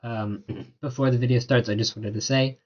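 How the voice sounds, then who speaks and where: A man, sick and raspy-voiced, hesitantly apologizing to his viewers in a casual voiceover, with lots of filler words. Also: medium pitch, steady tone, moderate pace, lo-fi sound. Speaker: gaming YouTuber.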